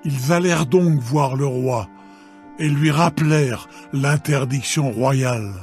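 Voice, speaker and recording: A man narrating a dramatised scripture reading in a measured, solemn manner. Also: medium pitch. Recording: background music.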